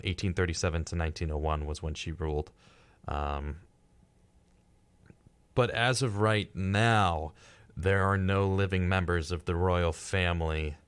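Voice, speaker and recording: A man's voice: deep voice, smooth, dry recording. A man co-hosting a casual podcast discussion, reading out facts in a dry, dismissive way. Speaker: podcast co-host.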